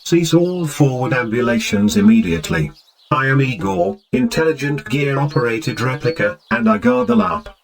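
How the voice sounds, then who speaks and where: A man's voice: mid-range voice, lively intonation, normal speed, lightly acted. A man playing a rigid robot guard in an audio drama, speaking in stiff, formal, clipped commands. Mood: rigid, officious. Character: rigid, officious robot guard made of gears. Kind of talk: scripted audio drama acting.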